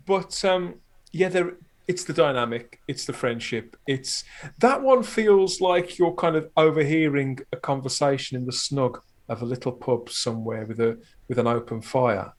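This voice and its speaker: A man's voice: medium pitch, normal speed. A man chatting casually as a podcast guest, effusive and rambling as he talks. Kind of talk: casual chat.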